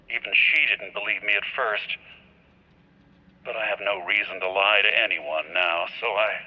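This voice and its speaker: An actor playing a remorseful visionary scientist in a video game, speaking in an earnest, measured, grandiose way. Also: male voice, on the low side, lo-fi sound. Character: remorseful male scientist, visionary and self-justifying. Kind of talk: dramatic video game cutscene acting.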